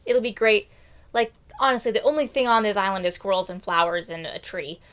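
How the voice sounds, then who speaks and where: A woman's voice: medium pitch, animated, fast, a bit bright, clean tone. A woman retelling a fairy tale casually and comically, with slangy, theatrical, quirky delivery. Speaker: storyteller.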